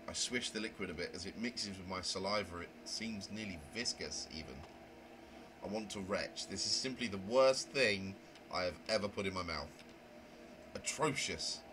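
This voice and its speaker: A man's voice: fairly low pitch, close to an everyday voice. A man voicing a prim, indignant young narrator in a visual novel, with wordy, fussy, theatrical diction. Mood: disgusted. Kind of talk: dramatic reading of a visual novel. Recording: noisy home microphone recording.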